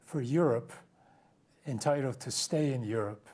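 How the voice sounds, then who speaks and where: An elderly man in his eighties, a native Ukrainian speaker, tells his story as an interview guest. His voice is mellow and husky, and he rambles, often stopping to restart or correct himself. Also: medium pitch, unhurried. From United States. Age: 80s. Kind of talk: casual interview.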